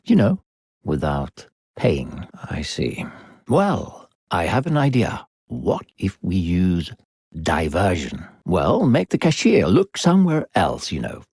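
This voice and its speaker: Creepy smooth-talking invisible spirit guide for a kids adventure game, low n husky, takes his time, fake polite, really swoopy delivery. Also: a little mellow, no room sound, clean studio sound, heavily acted. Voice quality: gravelly, husky. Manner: coaxing, falsely polite, condescending, drawn-out phrasing. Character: unseen smooth-talking sinister narrator spirit guiding a child. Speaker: game narrator and guide character. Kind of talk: theatrical video game character acting.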